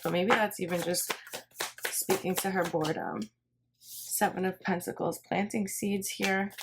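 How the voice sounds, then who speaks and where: A woman giving a casual tarot reading, thinking aloud in a rambling way and often repeating her phrases. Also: lowish voice. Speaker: tarot reader. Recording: clear background noise, card shuffling and clicks.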